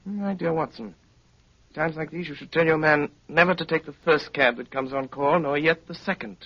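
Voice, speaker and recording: An actor playing a brilliant, composed gentleman detective in a 1930s radio drama, urbane, courteous and wryly commanding. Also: a little high, moderate pace. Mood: suave, controlled. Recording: muffled, crackly 1930s radio broadcast.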